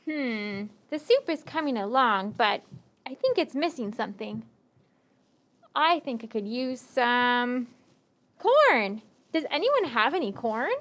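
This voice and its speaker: Puppet show vagabond, played by a woman: high-pitched, swooping delivery, theatrical and deliberate, a friendly coaxer. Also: big pitch swings, a bit bright, full character voice. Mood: musing, hinting. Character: friendly, tired, hungry wandering stranger puppet, cleverly coaxing. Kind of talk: children's puppet show acting.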